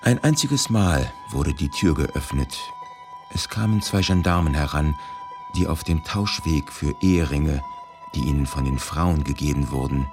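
A man with a very deep voice narrates a scripted radio documentary. His delivery is grave and deliberate, each phrase measured, and music plays clearly underneath him. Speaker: reader of a historical eyewitness testimony.

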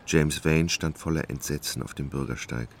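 A man narrating a literary radio drama in a measured, dramatic way. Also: extremely low pitch, gravelly, a little hiss or hum.

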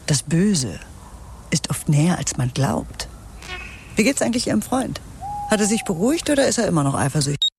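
A woman's voice: on the low side, big pitch swings, brisk, pauses often, slight background noise. Guarded, coolly menacing woman. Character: cool, evasive, quietly menacing woman with secrets. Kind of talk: modern radio drama acting.

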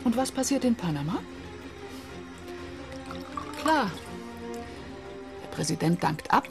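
An actress playing a forceful informant in a radio crime drama, speaking emphatically in rhetorical questions and short statements. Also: female voice, medium pitch, big pitch swings, takes it slowly, music in the background. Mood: urgent, emphatic. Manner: emphatic, rhetorical, building her case.

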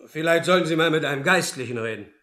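High-voiced, affronted company director. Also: male voice, fast, pushed and bright. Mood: puzzled, affronted. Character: businesslike company director, puzzled and easily affronted. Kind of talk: German radio play acting.

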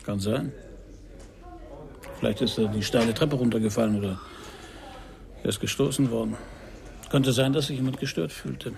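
An actor playing a wry, self-assured Parisian private detective in a radio crime drama, speaking dryly in terse, laconic sentences. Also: male voice, medium pitch, normal speed, gravelly, pauses often, a little mellow, natural voice. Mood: matter-of-fact. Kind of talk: first-person radio crime drama narration and dialogue.

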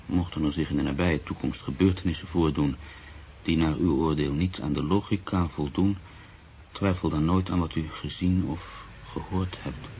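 A low-pitched, brooding newspaper writer in a radio drama. He is proud and sceptical, with measured, literary phrasing. Clear noise sits behind the voice. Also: steady tone, darkish tone. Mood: measured reading. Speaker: actor. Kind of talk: radio drama acting, monologue and narration.

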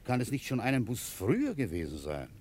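An actor playing an insistent police inspector in a German radio drama, husky, questioning politely but firmly. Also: male voice, medium pitch, wildly swooping pitch, fairly quick, darkish tone.

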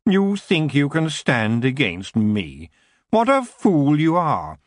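A man plays a merciless elf lord with open contempt, each word drawn out slow and menacing. His voice swoops up and down as he sneers, and it sounds close and dry. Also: on the high side, wildly swooping pitch, no room sound, pitched above the performer's own voice, heavily acted. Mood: taunting.

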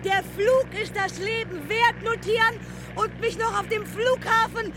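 A fatalistic woman aviator speaks in a poetic radio drama. Her voice is extremely high, and she declaims in solemn pronouncements. Very loud outdoor, vehicle-like noise surrounds her. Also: fairly even intonation, measured pace. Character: fatalistic doomed woman aviator foretelling deaths.

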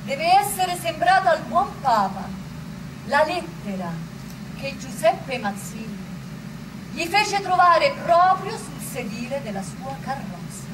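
A woman's voice: sits high, sing-song, takes it slowly, very noisy, full character voice. A woman acting as a solemn narrator, reading a visionary patriotic letter in an oratorical theatrical style. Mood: solemn, exhortative. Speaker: actress and narrator. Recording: voice over loud music.

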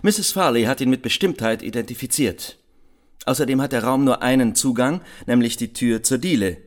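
A man's voice: mid-range voice, normal speed, close to an everyday voice. Methodical actor as male police inspector. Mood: dry, factual. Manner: dry, orderly reporting. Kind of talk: radio crime drama acting.

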